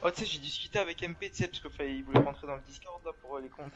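A young man chatting fast in slang while playing an online game on a headset. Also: fairly high pitch, muffled recording, background noise. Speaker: streamer playing with teammates. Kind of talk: casual gaming chat in slang.

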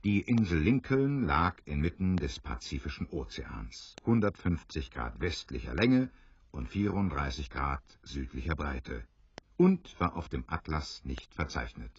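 A man's voice: extremely low pitch, big pitch swings, unhurried, breathy edge, muffled recording. A man narrating an adventure audio drama in a scripted storytelling style.

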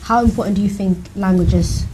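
A woman hosting a studio panel, calmly putting questions to her guests. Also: mid-range voice, fast talker, very noisy. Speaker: host, moderator. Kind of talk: interview questions. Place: TV studio, indoors.

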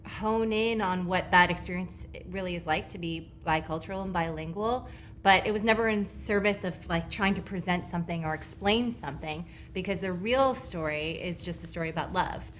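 A woman actor, raised in Los Angeles, answering interview questions in a thoughtful, measured way with pauses and fillers. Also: fairly low pitch, a bit bright, a little hiss or hum. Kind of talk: press junket interview. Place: studio set.

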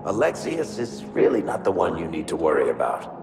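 An actor playing a wry, roguish dwarf in a video game, wisecracking and sardonic. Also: male voice, sits low, huge pitch swings, a little fast, gravelly, music in the background, exaggerated voice. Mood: grim, wry.

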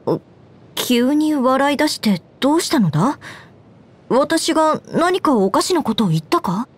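A woman voicing an earnest, dutiful young knight commander in a Japanese game, speaking in a formal, measured way. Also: high voice, sing-song. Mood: resolute, earnest, then puzzled. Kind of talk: Japanese game character voice lines. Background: background music.

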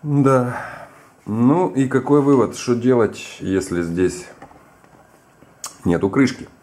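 A man's voice: mid-range voice, animated, takes it slowly, a little mellow. A man casually giving a hands-on tutorial and telling stories, playfully repeating phrases for comic effect. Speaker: printer repair technician giving advice. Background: background music with guitar.

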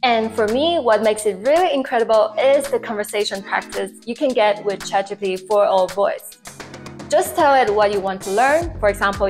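A young woman hosting an upbeat explainer video, talking to camera. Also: medium pitch. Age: young adult. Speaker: tech tips video host. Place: living room, indoors.

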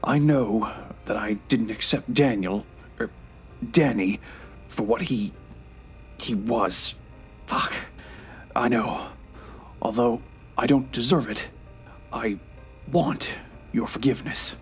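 An actor playing a frail, bitter, remorseful old grandfather in an audio drama, halting and irritable, sometimes hushed and breathy. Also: mid-range voice, soft background music, lightly acted. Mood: regretful, bitter.

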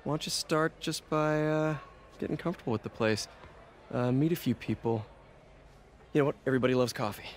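A man's voice: medium pitch, fairly quick, a little hiss or hum, close to an everyday voice. An actor playing an earnest young male superhero in a video game, an American who talks in an informal, reassuring way. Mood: friendly. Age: young adult. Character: young male superhero scientist, earnest, encouraging, wisecracking mentor. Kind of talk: acted video game dialogue.

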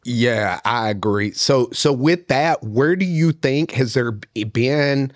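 A man in his thirties co-hosting a casual podcast interview, asking rambling questions full of fillers into a studio boom mic. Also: slow, no room sound. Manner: laid-back, rambling questions with many fillers. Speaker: podcast co-host. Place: studio set with couch. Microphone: boom mic with headphones.

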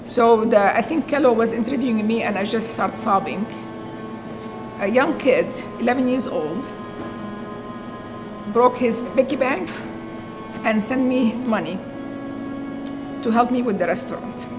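A middle-aged Syrian-accented woman speaks with earnest animation, scattering "you know" through her story. Her voice sits a little high. Clear music plays underneath the interview. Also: measured pace, pauses often, low-quality recording. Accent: Syrian Arabic. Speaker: chef and restaurant owner. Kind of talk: documentary interview. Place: empty restaurant dining room.

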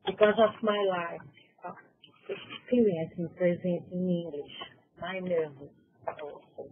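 A woman's voice: fairly low pitch, fairly even intonation, measured pace, a little hiss or hum. A woman from Brazil with a Portuguese accent, carefully reading a prepared conference presentation in English with occasional self-corrections. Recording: tinny phone-like conference audio.

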